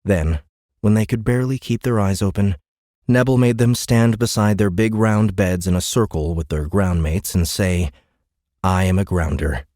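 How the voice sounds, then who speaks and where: A man's voice: on the low side, normal speed, warm tone, no room sound, clean studio sound. A man reading a science fiction audiobook in scripted dramatic narration.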